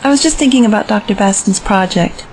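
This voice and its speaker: A woman's voice: medium pitch, brisk, darkish tone, slight background noise, natural voice, poor audio. A woman playing a practical, open-minded business investor in an audio drama, polite and reasonable. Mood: wry, firm.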